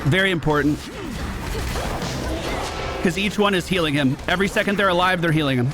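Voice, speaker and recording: A man's voice: sits high, a little fast, over music. A man giving casual gameplay commentary, talking to himself and his viewers and swearing now and then. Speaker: gaming streamer.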